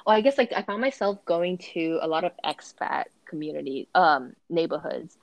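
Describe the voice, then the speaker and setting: A woman's voice: sits low, animated, normal speed, vocal fry, dry recording. A young adult woman chatting casually, hedging often with "like" as she asks questions. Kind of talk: casual conversation.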